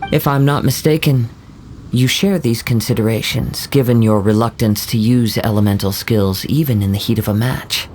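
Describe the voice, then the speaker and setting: A man voicing a calm young wandering samurai who speaks in a formal, measured, courteous way. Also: mid-range voice, a little hiss or hum, natural voice. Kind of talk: video game voice acting.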